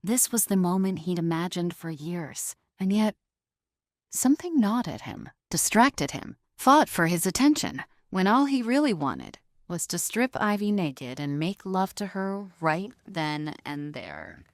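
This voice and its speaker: Low-voiced woman narrating drama, dry studio sound. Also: big pitch swings, unhurried, no room sound. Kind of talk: dramatic scripted audio story narration.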